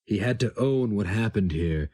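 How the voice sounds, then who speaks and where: A man giving a solemn, deliberate, dramatic reading of a fantasy story as its narrator. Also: lowish voice, animated, a little mellow.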